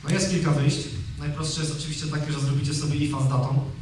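A man in his thirties gives a conference talk from a stage, his voice sitting slightly high but staying flat in pitch. He speaks loosely, restarting phrases and filling pauses as he goes. The sound is distant and very echoey, with a faint hum of the hall behind him. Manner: conversational, with frequent fillers and restarts. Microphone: handheld microphone.

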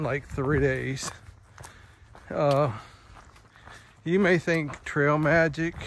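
A man's voice: medium pitch, vocal fry, a little hiss or hum. A man in his fifties chatting casually while hiking a forest trail, folksy and easygoing, filming on a handheld phone. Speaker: thru-hiker vlogger.

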